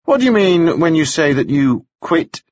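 A man's voice: fairly high pitch, big pitch swings, moderate pace, a little mellow, no echo, muffled recording, voice pushed up. A man doing a sly, probing prosecutor. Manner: pointed, pleasant-sounding questioning. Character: smooth, sly male prosecutor in court.

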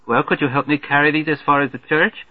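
A man reading an audiobook, voicing a sharp-tongued, bossy little girl who scolds shrilly. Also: on the high side, fairly even intonation, fast, dry recording, voice pushed up, lightly acted. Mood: asking. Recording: tinny phone-like band, dry.

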